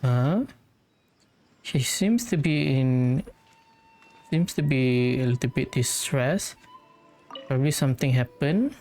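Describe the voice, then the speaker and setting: A woman's voice: rumbling low, expressive, takes it slowly, pauses often, dark tone, faint music behind. A woman gamer making brief, informal reactions to game dialogue during a playthrough.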